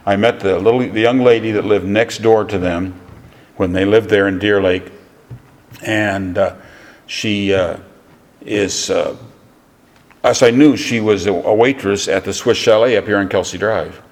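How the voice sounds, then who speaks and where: A pastor introducing a guest preacher, speaking haltingly with many fillers and restarts, recorded in a church. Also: male voice, on the low side, steady tone. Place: church sanctuary. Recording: church hall reverb.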